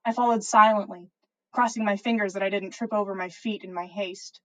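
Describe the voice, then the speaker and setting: A woman's voice: mid-range voice, steady tone, brisk, fairly bright tone, rough recording. A woman podcast host narrating a piece of fan fiction expressively, as if reading aloud to listeners.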